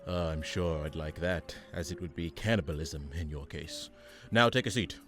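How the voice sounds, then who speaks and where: A man's voice: sits low, moderate pace, over music, lightly acted. A man playing a bombastic, pompous radio-play showman. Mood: pompous, sarcastic. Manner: grandiose, theatrical, dropping into sarcastic asides. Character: bombastic radio announcer turned petty, exasperated, pompous supervillain leader. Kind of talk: old-time superhero radio play parody.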